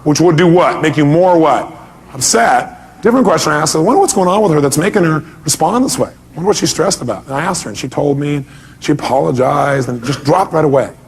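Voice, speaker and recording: A man's voice: on the high side, animated, quick pace, poor audio. A man speaking as a motivational seminar leader, emphatic, putting rhetorical questions to his listeners, repeating key points and acting out reactions.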